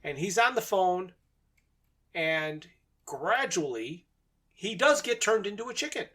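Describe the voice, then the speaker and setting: A man with a fairly high-pitched voice, hosting a podcast. He walks through a drama episode point by point in a conversational recap, with a chuckle here and there. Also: sing-song, a little slow, sharp tone.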